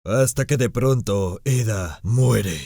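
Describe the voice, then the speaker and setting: A man's voice: lowish voice, slow pace, gravelly. A man giving a casual, scripted recap and review as a channel host, with an informal, irreverent way of talking.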